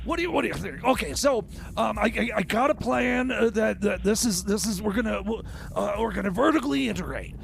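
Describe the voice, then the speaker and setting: A man playing a scatterbrained, excitable inventor with a secret scheme, stammering haltingly in an improvised radio comedy. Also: high voice, vocal fry, a little hiss or hum, exaggerated voice. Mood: flustered scheming.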